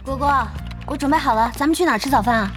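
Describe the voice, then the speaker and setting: An actress playing a gentle, agreeable young woman who loves gaming, in an audio drama. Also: sits high, quick pace, soft background music. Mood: sweet, coaxing.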